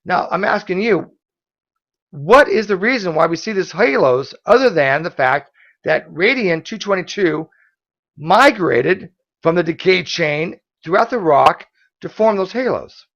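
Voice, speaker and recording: A hoarse man hosting an online debate, pushing back with quick, forceful rebuttals full of restarts. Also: high voice, animated, lo-fi sound. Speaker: debate host.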